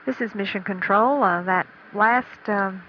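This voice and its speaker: A woman giving unscripted, matter-of-fact status commentary from mission control in a halting way. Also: mid-range voice. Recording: dull archival radio feed with faint noise.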